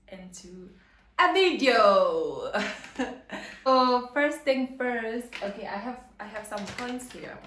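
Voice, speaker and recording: A young woman talks slowly to her camera, earnest and rambling, full of "like" and "you know". Her voice sits mid-pitched and rings in a roomy space with a faint hum behind it. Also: fairly bright tone. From South Africa, KwaZulu-Natal. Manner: rambling, filler-heavy, earnest. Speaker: vlogger. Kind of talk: casual chat to camera.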